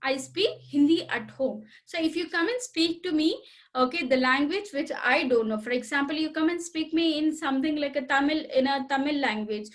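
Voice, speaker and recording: High-voiced teacher woman. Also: normal speed. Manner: repetitive, addresses children directly, asks questions. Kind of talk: recorded school computer lesson.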